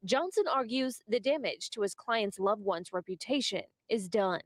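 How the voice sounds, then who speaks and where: A woman's voice: mid-range voice, animated, normal speed, no room sound. A woman reporter delivering scripted television news narration.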